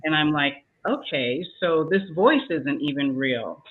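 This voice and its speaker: A woman's voice: deep voice, lively intonation, measured pace. An older woman on a talk show panel giving casual advice and acting out both sides of a conversation as she tells a story. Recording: tinny phone-like sound.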